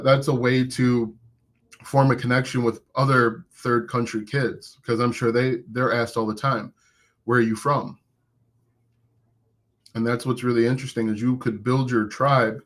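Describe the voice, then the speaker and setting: A man with a voice of middle pitch, speaking as an online business coach on a live stream. He talks casually and encouragingly to his viewers, often restarting his sentences. He says he has an accent himself. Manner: conversational and encouraging, with frequent restarts.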